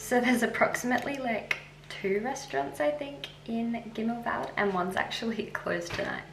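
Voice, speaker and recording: A woman's voice: on the high side, normal speed, gravelly, background noise. A woman in her twenties chatting casually as a travel vlogger, with an informal, gushing and playful manner. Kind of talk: casual vlog chat. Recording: music playing under the voice. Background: music.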